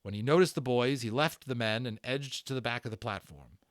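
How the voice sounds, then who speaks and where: A man giving an expressive, dramatic read-aloud of a children's book as narrator. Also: medium pitch, a little fast, dry recording, clean studio sound. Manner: expressive, performed reading. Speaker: narrator and voice actor.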